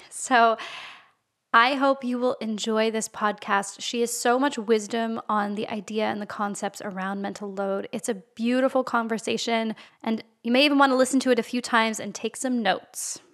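A woman life coach hosts a podcast interview, talking enthusiastically and breaking into frequent laughs. Her voice sits fairly high. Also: fairly bright tone. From Canada.

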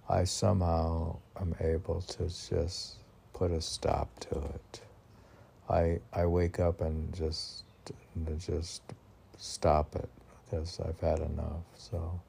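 Very deep, gravelly, slow-talking man. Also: dark tone. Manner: rambling, conversational, asks and answers himself. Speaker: poet reading and commenting on own poems. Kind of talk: informal poetry reading with self-questioning commentary.

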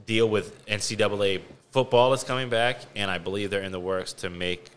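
A man's voice: fairly low pitch, fairly even intonation, fairly quick, a bit bright, clean tone. Loose, enthusiastic young man bantering. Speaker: podcast co-host. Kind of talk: casual, slangy banter.

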